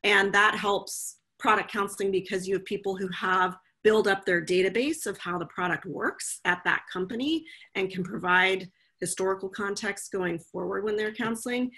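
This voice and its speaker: A woman's voice: mid-range voice, normal speed, a bit bright, husky. A woman guest speaking thoughtfully and casually over a video call from home. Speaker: guest, legal professional. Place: home room, indoors.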